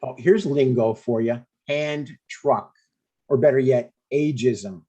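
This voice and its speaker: A man's voice: medium pitch, slow pace, mellow, muffled recording. A man in his sixties playing an earnest, eager but stubborn dad in a conversational comedy table read.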